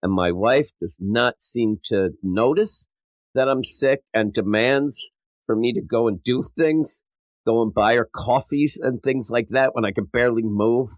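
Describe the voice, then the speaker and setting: A sick, stuffed-up man hosting a podcast in a rambling, self-mocking way, his voice a little rough and wavering. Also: medium pitch, measured pace, no room sound, rough recording. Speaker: podcast host. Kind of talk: casual podcast monologue.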